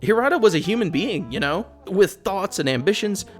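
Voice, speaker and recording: A man's voice: high-pitched, expressive, a little fast. Sardonic man commentating over music. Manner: sardonic, blunt, casually profane. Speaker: video essayist, host. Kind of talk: opinionated game commentary, casual script with asides.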